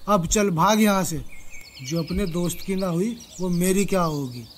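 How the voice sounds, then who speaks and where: A man's voice: high-pitched, a little slow, slight background noise. A man voicing a cunning, commanding old male lion in a children's moral story. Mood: scornful scolding.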